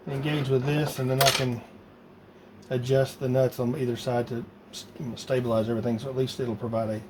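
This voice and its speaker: A man talking casually and haltingly, thinking aloud as he works through a hands-on project. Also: medium pitch. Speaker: hobbyist maker demonstrating a DIY project. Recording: loud background noise.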